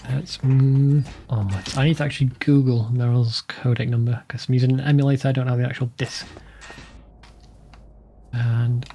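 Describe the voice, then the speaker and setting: A man's voice: medium pitch, flat delivery, moderate pace, warm tone, a little hiss or hum. A man giving casual commentary as he plays a video game. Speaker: let's play host. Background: game music, keyboard typing.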